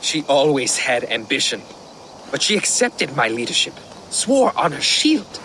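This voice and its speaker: Solemn Viking jarl, noisy surroundings. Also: male voice, medium pitch, big pitch swings, unhurried, sharp tone. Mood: troubled. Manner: solemn, formal. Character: proud Viking jarl, solemn, passionate and loyal. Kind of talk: video game acting.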